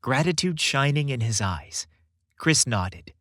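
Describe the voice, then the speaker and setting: A man hosting a podcast and narrating a scripted story in a theatrical, expressive way. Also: mid-range voice, measured pace, dry recording, clean studio sound.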